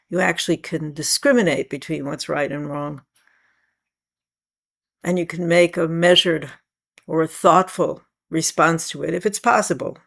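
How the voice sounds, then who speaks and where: A woman spiritual teacher with a scratchy voice today, giving a calm, measured, reflective talk to a meeting. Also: low voice, vocal fry.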